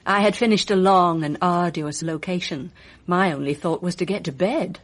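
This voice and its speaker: A woman's voice: on the low side, normal speed, close to an everyday voice. A woman playing a poised, evasive film actress in a radio mystery drama, polite, guarded and arch. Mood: polite, coy.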